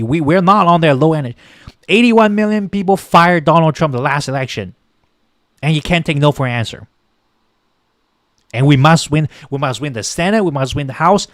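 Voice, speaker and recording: A man's voice: on the high side, dry recording, studio quality. A man hosting a political livestream, ranting with passion, repeating himself and thanking viewers in chat. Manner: impassioned, repetitive, thanks chat viewers by name. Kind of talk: heated political rant on a livestream.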